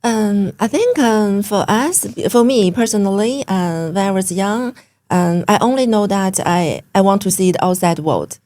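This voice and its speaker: A woman from China speaking English with a Chinese accent as an interview guest, pausing often with fillers. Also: mid-range voice, lively intonation, darkish tone. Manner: hesitant, many fillers, simple English. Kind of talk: interview conversation.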